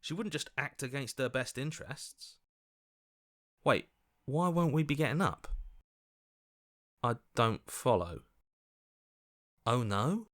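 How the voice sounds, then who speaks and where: An actor playing an earnest, anxious heroic inner voice in a video game, protesting and questioning. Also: male voice, medium pitch, expressive, slow, pauses often, fairly bright tone. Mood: anxious, bewildered. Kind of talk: dramatic game voice acting.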